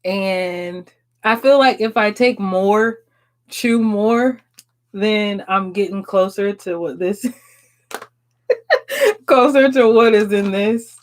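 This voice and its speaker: Slow-talking frank woman in her thirties. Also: medium pitch, expressive. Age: 30s. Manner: frank and chatty, swears and laughs often. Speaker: livestream host answering viewers. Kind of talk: casual live chat.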